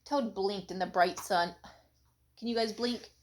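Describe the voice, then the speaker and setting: A woman's voice: mid-range voice, measured pace. A mother reading a children's story aloud expressively, breaking off for casual questions to her children. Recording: home recording with background noise.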